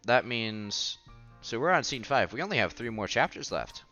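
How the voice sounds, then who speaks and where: Bright-voiced man reading a visual novel, swooping pitch. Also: big pitch swings, moderate pace, muffled recording. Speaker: host reading a visual novel aloud for viewers. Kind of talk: casual read-aloud playthrough with side comments. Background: background music.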